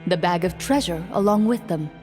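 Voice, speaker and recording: An actress playing a composed, serious young game master who speaks formally, in a measured and deadpan way. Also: female voice, on the low side, close to an everyday voice. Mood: solemn narration. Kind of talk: scripted game voice acting, narrating a tabletop game. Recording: echoey hall reverb, music behind.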